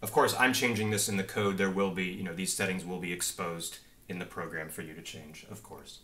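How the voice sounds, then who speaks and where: A man's voice: low-pitched, steady tone, brisk, a bit bright, a little hiss or hum. A young man in his twenties, a game developer, casually walking viewers through a demo at his desk with an easygoing, explanatory manner and plenty of filler words. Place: small home office with a desk and monitor.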